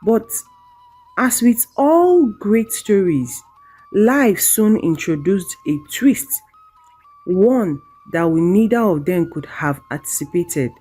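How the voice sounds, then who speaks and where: A woman narrating a scripted folktale in a measured, dramatic storytelling manner. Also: medium pitch, sing-song, dark tone, slightly rough, faint music behind.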